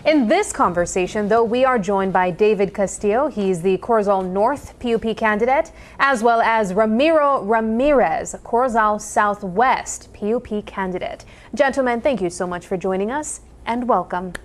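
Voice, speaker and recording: A woman's voice: medium pitch, moderate pace, hardly any pauses, faint music behind. A woman hosting a studio interview on a morning TV show, welcoming her guests.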